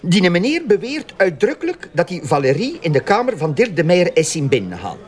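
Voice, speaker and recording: A man's voice: sits high, wildly swooping pitch, a little fast. A man with a Flemish accent acting in a radio drama as a chatty junior policeman who rambles in a flustered, naive way. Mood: flustered, rambling.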